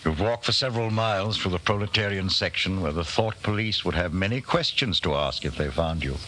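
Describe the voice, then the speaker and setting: Grave male narrator: deep, forceful, dramatic, urgent, vintage radio suspense. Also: lively intonation, brisk. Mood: warning. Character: grave, ominous male narrator addressing the hero. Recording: old radio recording, faint noise.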